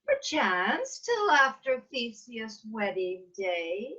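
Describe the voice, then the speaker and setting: A woman playing a proud, defiant fairy queen in a measured, theatrical reading of Shakespearean verse over a video call. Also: fairly high pitch, sing-song, bright, forceful tone, full character voice. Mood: haughty. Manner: theatrical, measured, emphatic delivery. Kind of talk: Shakespearean verse table read. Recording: dull, lo-fi video call audio.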